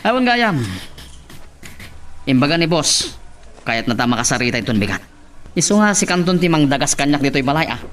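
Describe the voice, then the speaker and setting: A man's voice: a little high, sing-song, brisk, pauses often, a bit bright. A man with an Ilocano accent acting a sly, smug schemer who drawls and chuckles in an audio drama. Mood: smug, scheming. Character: sly, chuckling male schemer talking money with his buddy.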